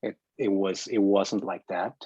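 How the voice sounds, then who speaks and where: A man speaking as a filmmaker in a casual group discussion, opinionated and halting, often repeating his words as he argues a point. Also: sits low, steady tone, warm tone, husky, no echo, highs cut off.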